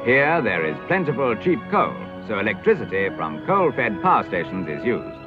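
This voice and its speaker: Animated British man narrating a vintage educational film. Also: fairly low pitch. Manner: formal, measured, declamatory. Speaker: documentary narrator. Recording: dull vintage film soundtrack with music. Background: clear background music.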